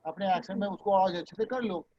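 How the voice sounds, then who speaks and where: A man's voice: sits high, quick pace, a little hiss or hum. A man teaching a voice class over a video call, talking casually and reassuringly, mixing Hindi and English. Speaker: voice and dubbing trainer. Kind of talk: online class coaching. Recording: dull, lo-fi video call audio.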